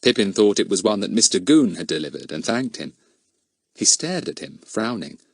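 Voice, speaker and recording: A man reading a children's story as an audiobook narrator, in an expressive storytelling delivery. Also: lowish voice, normal speed, breathy edge, no room sound, clean studio sound.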